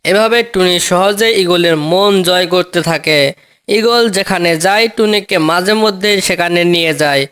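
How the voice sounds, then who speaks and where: A man's voice: sits high, normal speed, a bit bright, clean tone. A man narrating a scripted children's cartoon story.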